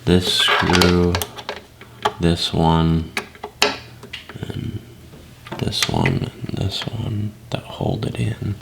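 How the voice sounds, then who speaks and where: A man's voice: low-pitched, lively intonation, extremely slow, vocal fry, pauses often, very noisy. A man making casual, offhand remarks while he works.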